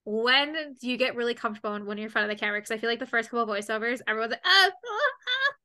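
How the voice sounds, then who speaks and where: A woman hosting a casual interview, chatty and expressive, often repeating words as she starts a thought. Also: fairly high pitch, very fast. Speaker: host.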